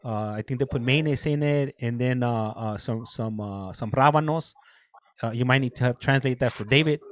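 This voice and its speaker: A man's voice: medium pitch, normal speed, dry recording. A Mexican American man chatting casually on a video call, laughing often, stumbling over repeated words and slipping Spanish into his English. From Southern California. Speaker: guest on a prison video call. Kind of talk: casual chat.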